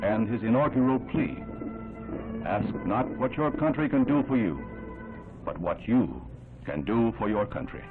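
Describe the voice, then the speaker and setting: Male American newsreel narrator, 1960s: low-voiced, solemn and oratorical, on a thin band-limited old soundtrack. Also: animated, measured pace, phone-quality sound. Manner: solemn, formal, dramatic oratorical delivery. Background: loud music.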